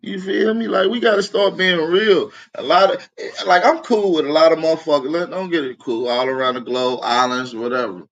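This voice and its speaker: An American man with a fairly high voice. He comments in a casual, opinionated way. His delivery is blunt, slangy and confrontational, full of swearing. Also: fairly even intonation, moderate pace, fairly bright tone, dull audio. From United States. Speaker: reaction commentator.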